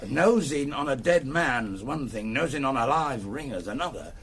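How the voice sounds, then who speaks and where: An actor playing a shifty petty crook turned valet in a radio drama, with a Cockney accent and a cheeky, evasive, mock-polite manner. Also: male voice, mid-range voice, lively intonation, moderate pace, fairly bright tone, slightly rough. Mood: nervous, evasive. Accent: London Cockney. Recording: faint background noise and ambience.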